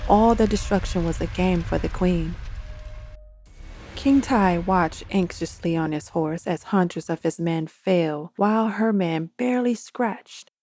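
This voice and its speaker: A woman narrates her own dark fantasy tale in a steady, expressive storytelling voice. Her tone sits low and mellow. Soft music plays faintly underneath. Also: measured pace. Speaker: author and narrator.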